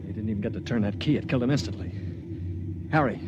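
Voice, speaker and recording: A man's voice: lowish voice, fast talker, lo-fi sound, lightly acted. An actor playing a determined young crewman leading a mutiny in a 1950s radio drama, urgent and commanding. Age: young adult. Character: determined young man forced into spaceship crew, leading mutiny. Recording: noisy 1950s radio recording.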